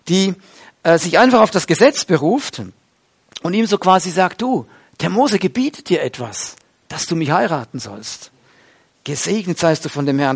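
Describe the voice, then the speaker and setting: A middle-aged man preaching a sermon, explaining a Bible passage in an earnest way with frequent hesitations. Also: a little high.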